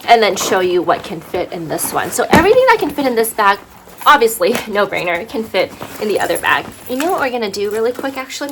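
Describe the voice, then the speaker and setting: A woman's voice: mid-range voice, noisy background. A woman vlogger casually reviewing bags, slightly congested from allergies, chatty with many fillers. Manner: chatty, lots of fillers and "right?". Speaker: fashion vlogger.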